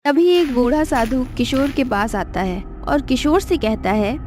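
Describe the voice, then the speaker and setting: A woman's voice: high-pitched, measured pace, a little mellow, slight background noise. A woman narrating a scripted moral cartoon story as its storyteller.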